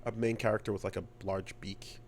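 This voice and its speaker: Halting man in casual podcast chat. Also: fairly low pitch, little pitch movement. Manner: halting, repeats words as he thinks aloud. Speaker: podcast co-host.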